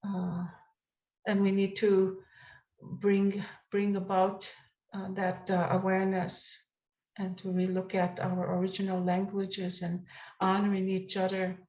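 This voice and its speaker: A woman speaking on an online panel in a halting way, repeating words as she goes. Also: medium pitch, monotone, a little mellow, reverberant, poor audio. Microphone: video call.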